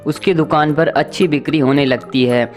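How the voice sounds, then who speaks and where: A man's voice: a little high, steady tone, moderate pace, faint music behind. A man narrating a scripted children's story.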